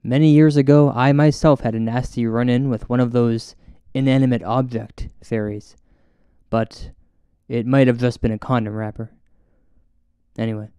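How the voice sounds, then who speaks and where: A man hosting and narrating a spooky comedy as a paranormal investigator, mock-serious and deliberate in his storytelling. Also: medium pitch, mellow, clean tone, dry recording, studio quality. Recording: music bed under narration. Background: music.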